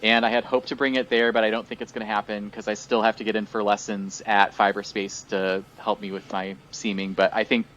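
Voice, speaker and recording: A man's voice: fairly low pitch, level tone, brisk, few pauses, fairly bright tone, dull audio. A man co-hosting a casual chat podcast, rambling and full of fillers while he is losing his voice. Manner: chatty and rambling, many fillers. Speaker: podcast co-host.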